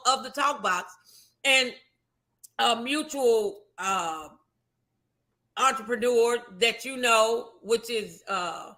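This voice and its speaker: A woman's voice: high voice, sharp tone. A middle-aged American woman hosting a casual motivational talk show, speaking in an emphatic, encouraging way.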